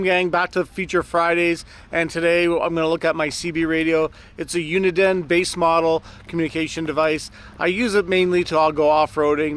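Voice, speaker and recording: A man's voice: a little high, a bit bright, slight background noise. A man hosting a vlog, chatting casually and a bit ramblingly to his viewers as he reviews gear. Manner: chatty, rambling, addresses viewers as guys and gang. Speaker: host of a car gear video series.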